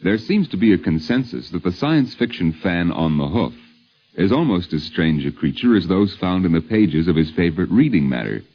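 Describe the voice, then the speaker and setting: Deep, husky American radio narrator, male. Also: very animated, normal speed. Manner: polished, wry, theatrical phrasing. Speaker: documentary host and narrator. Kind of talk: scripted 1950s radio narration. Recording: muffled 1950s radio broadcast.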